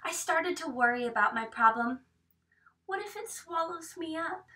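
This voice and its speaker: A woman in her thirties reading a picture book aloud expressively, with dramatic hushed emphasis, recorded from a camera across a small room. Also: sits high, lively intonation, moderate pace. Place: small indoor room with bookshelves. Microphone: camera a few metres away. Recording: distant microphone in a room.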